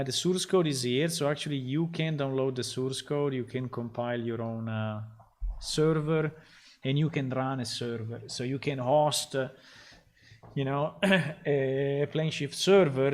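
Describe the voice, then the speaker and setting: Slow, halting man thinking aloud. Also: medium pitch, darkish tone. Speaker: game developer streaming his work. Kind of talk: casual live-stream talk while working.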